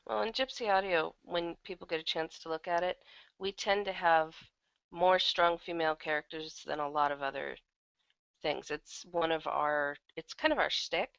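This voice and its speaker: A woman's voice: low voice, moderate pace, gravelly, fairly bright tone, clear, resonant tone, dry recording, lo-fi sound. A woman chatting casually as an interview guest, talking as an audio drama writer and producer.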